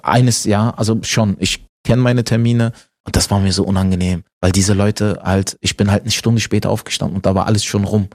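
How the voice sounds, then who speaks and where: Chatty comedian guest. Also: male voice, fairly low pitch, steady tone, a little fast, a little mellow. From Berlin, Germany. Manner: chatty, self-mocking, lots of fillers. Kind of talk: casual interview chat.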